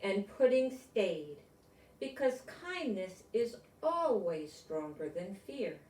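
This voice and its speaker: An older woman reading a picture book aloud with chatty asides, explaining gently and simply as if to children. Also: medium pitch, sing-song, slow pace. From United States. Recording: roomy echo, distant microphone.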